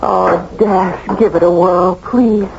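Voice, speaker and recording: A woman's voice: lowish voice, fairly even intonation, slow, gravelly. A woman acting an anxious, devoted wife in an old-time radio drama. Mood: coaxing, reassuring. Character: anxious, devoted wife urging her troubled husband on. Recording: muffled, noisy old radio recording.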